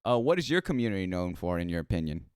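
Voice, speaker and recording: An American man hosts a relaxed interview, talking in loose, chatty runs that often restart mid-thought. His voice is smooth and resonant. The sound is dry and close, with no room behind it. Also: low voice, fast, dry recording. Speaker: podcast host. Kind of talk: casual interview chat.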